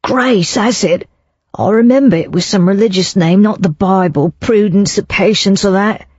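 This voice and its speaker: A reader voicing a smarmy Cockney salesman in his thirties, nervous and wheedling, pushing the pitch up. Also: moderate pace, darkish tone, breathy edge, no room sound, close to an everyday voice. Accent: Cockney overlaid with refinement. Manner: wheedling, panicky, raised pitch. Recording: dull lo-fi, dry.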